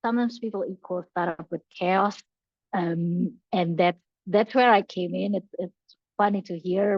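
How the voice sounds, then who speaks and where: A woman's voice: lowish voice, a little mellow, dry recording. A young woman from Indonesia, a podcast guest speaking over a video call, answering thoughtfully with frequent fillers and restarts. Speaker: podcast guest, learning and development professional. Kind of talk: casual interview answers. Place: bright room with large windows. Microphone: headphones on a video call. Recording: dull, lo-fi video call audio.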